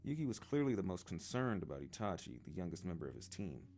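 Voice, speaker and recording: Deep-voiced man reading a story, lively. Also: quick pace. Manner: expressive storytelling, shifting voices for characters. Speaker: audiobook reader. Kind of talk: reading a novel aloud with character voices. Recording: guitar music behind the voice. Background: guitar music.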